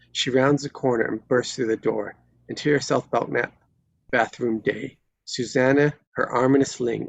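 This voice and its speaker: Casting: male narrator for screenplay stage directions, raspy with vocal fry, dry close sound. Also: mid-range voice, no echo, low-quality recording. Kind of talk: scripted narration at a live table read.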